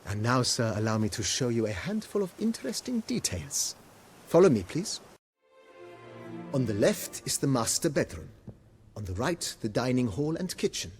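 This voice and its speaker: Man playing a pompous, animated majordomo. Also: mid-range voice, moderate pace, faint music behind, lightly acted. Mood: courteous, deferential. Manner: pompous, deferential, ornate formal speech. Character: formal, eager, courteous male majordomo of a vineyard estate. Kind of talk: theatrical video game acting.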